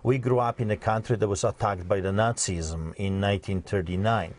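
A pastor from Poland, speaking earnestly and emphatically as an interview guest. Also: male voice, lowish voice, brisk, darkish tone.

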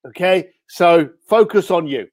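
Male podcast guest; blunt and direct, fairly high voice, dry close recording. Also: unhurried, a little mellow, no room sound. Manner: blunt, punchy advice. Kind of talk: interview chat.

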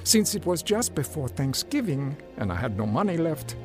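Music plays behind an earnest young immigrant man in a radio drama. His voice is lightly high and moves up and down a lot, with a naive air. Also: very animated. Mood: rueful. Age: young adult. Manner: hesitant, halting broken English in dialogue. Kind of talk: radio drama acting and first-person narration.

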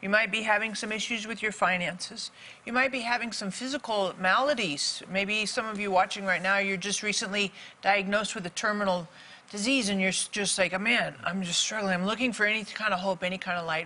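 Bright woman hosting a talk show. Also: medium pitch, fairly even intonation, brisk. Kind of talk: presenting and interviewing.

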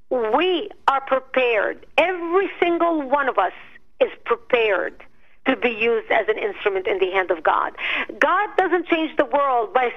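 A middle-aged woman speaks in a high, carrying voice, earnest and emphatic like a preacher. She talks as a guest sharing her own story. The audio is dull and lo-fi, like a broadcast dub. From Middle East. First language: Arabic. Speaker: guest, activist founder. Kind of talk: impassioned personal testimony.

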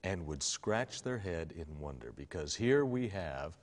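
A man hosting an interview, asking questions in an earnest, reflective and measured way. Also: low-pitched, very animated, in a large hall. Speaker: host.

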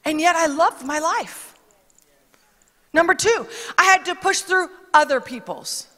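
Very high-voiced woman preaching emphatically. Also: measured pace, pushed and bright. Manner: emphatic, chatty preaching with quoted asides. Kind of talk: conversational sermon. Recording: long reverb of a large hall.

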